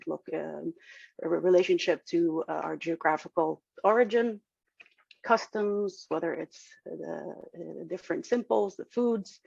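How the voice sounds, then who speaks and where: Woman, first language arabic, gravelly low voice, dry muffled video call audio, explanatory with many fillers. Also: no echo. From Nazareth, Israel. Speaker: guest speaker at an interfaith service. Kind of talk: informal talk to a congregation.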